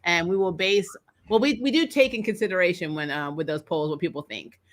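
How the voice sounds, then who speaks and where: A woman's voice: fairly low pitch, brisk. A woman co-hosting a fan debate livestream, chatting casually and in a rambling, informal way to viewers.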